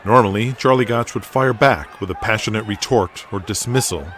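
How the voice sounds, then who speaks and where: A man's voice: on the low side, moderate pace, a bit bright, a little hiss or hum. A man reading scripted narration for an audio drama. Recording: music bed behind voice. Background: music.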